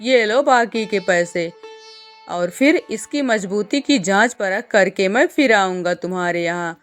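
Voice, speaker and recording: A woman plays a bossy male vulture in a cartoon tale. He speaks sternly and commandingly, at a measured pace, with music behind. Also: mid-range voice, close to an everyday voice. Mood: gruff approval. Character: bossy, threatening male vulture, a demanding customer. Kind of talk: children's cartoon story voicing.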